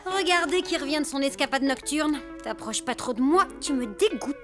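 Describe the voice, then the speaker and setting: A woman's voice: high-pitched, lively intonation, moderate pace, music in the background. A woman voicing a haughty, easily disgusted female animal in a French children's cartoon, disdainful and scolding.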